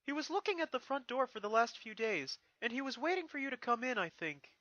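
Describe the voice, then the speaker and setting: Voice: mid-range voice, very animated, brisk, sharp tone, no echo, lo-fi sound, voice pushed up. A reader voicing an eager, boyish young dragon assistant in a fan fiction reading. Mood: easygoing.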